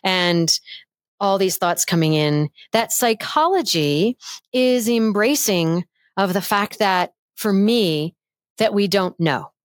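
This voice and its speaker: A middle-aged woman voice coach chatting as a guest on a remote interview, animated and playful, demonstrating voice techniques. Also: slow, no room sound, studio quality. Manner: animated and playful, demonstrates pitch changes with her own voice. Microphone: headphones with mic, remote call.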